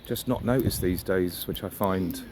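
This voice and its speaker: Low-voiced man, musing outdoors. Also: moderate pace, a little mellow. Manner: musing, with rhetorical questions. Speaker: vlogger. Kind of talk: casual reflective monologue while walking. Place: outdoors on a riverside walk. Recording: outdoor ambient noise, birds and traffic.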